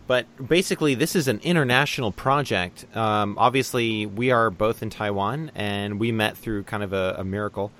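A man's voice: on the low side, normal speed, hardly any pauses, fairly bright tone. A man hosting a casual online radio interview, chatty and enthusiastic, with plenty of fillers. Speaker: podcast host. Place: lives in Taiwan.

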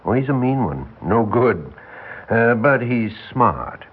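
Older defense lawyer, world-weary, folksy, husky, lively intonation, 1960s radio drama, thin band-limited sound. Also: male voice, mid-range voice, takes it slowly, narrow, tinny audio, full character voice. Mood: wry, candid. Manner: rambling, emphatic, wry.

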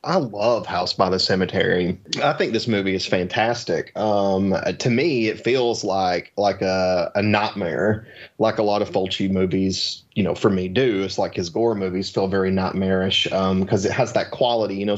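A man's voice: lowish voice, fairly even intonation, normal speed. A man giving his take as a panelist in a casual film chat, informal and a little hesitant, on a lo-fi line. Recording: dull lo-fi voice line.

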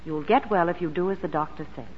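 An actress playing a brisk, bossy sanatorium nurse in a 1940s radio drama. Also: female voice, fairly low pitch, lightly acted. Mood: brisk, matter-of-fact. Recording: dull, noisy vintage 1940s radio broadcast.

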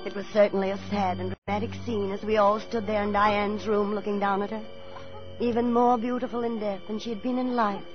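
An actress playing a prim, motherly middle-aged landlady who tells her story in measured 1940s radio drama style. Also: mid-range voice, level tone, natural voice. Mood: somber, confiding. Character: Miss Leonora Plim, prim motherly boarding-house landlady telling her story. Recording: muffled 1940s radio broadcast, faint music.

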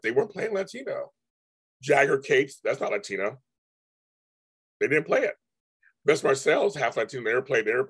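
A man talks casually to his live-stream viewers, his voice hoarse and husky. He rambles, repeats himself and restarts his sentences often. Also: mid-range voice. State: hoarse, voice going out. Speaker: livestream host.

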